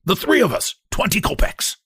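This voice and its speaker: A man voicing an abusive young hunchbacked man with a cracked, shrill voice. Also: big pitch swings, normal speed, a bit bright, husky, no room sound, studio quality, heavily acted. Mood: jeering, impatient. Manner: shrill, bullying, jeering.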